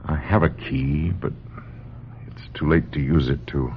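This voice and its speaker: A man's voice: very deep voice, unhurried. A man acting an earnest, caring doctor in a radio drama, with a grave, intense manner. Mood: grave, concerned. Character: earnest, caring male doctor in love with his patient. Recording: muffled, noisy old radio drama recording.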